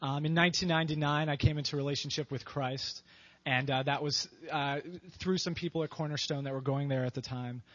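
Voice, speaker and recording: A man from Pennsylvania answering interview questions about his wife in a relaxed, halting way, with many fillers. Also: a little high. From Pennsylvania, USA. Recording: dull lo-fi sound.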